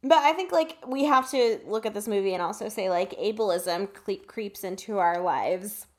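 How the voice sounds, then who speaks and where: Female podcast co-host for loose, chatty movie talk; mid-pitched, informal, swears casually. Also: normal speed. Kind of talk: casual film discussion podcast chat.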